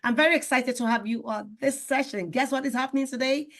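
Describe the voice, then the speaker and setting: A woman hosts an interview show with enthusiasm. Her voice sits a little high, and she speaks effusively, often repeating phrases for emphasis. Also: quick pace, fairly bright tone. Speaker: show host. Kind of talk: enthusiastic talk show hosting.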